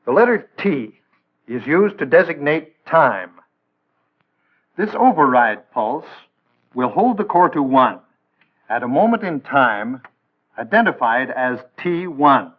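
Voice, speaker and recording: An American man narrating a 1960s instructional film in a measured, didactic way with precise enunciation. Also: on the high side. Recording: band-limited, tinny vintage film soundtrack.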